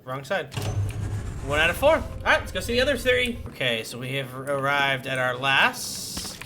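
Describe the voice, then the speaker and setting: A man's voice: medium pitch, animated, normal speed, noisy background. A man giving casual commentary as he plays a video game and streams it. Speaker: gaming streamer playing and commenting.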